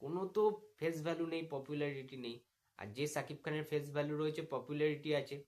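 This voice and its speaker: A man's voice: a little high, level tone, fast talker, darkish tone. A man with a Bengali accent delivering an indignant, mocking rant as a video commentator, full of rhetorical questions. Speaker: YouTube commentator making a reply video. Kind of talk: opinionated rant addressed to the camera.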